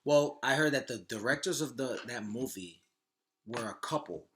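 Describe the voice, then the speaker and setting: A man's voice: medium pitch, animated, moderate pace, fairly bright tone. A man chatting casually as a podcast co-host, informal and sweary, often repeating himself. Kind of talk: casual chat about movies.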